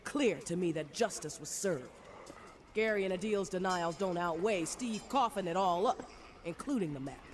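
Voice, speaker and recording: An actor playing a coldly pragmatic, unforgiving survivor in a video game, speaking bluntly and decisively. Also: male voice, sits high, animated, normal speed, a little hiss or hum. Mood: stern, unrepentant. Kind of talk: dramatic video game monologue.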